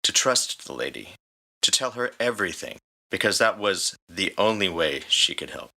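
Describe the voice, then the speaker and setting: A man's voice: deep voice, sing-song, moderate pace, vocal fry, bright, forceful tone. A man reading scripted story narration as the narrator. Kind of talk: scripted fan fiction narration.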